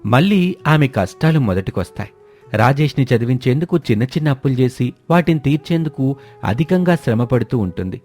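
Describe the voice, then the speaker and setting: A man reads scripted story narration. His voice is dark and relaxed, at a middling pitch, with faint music behind it. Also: fairly quick.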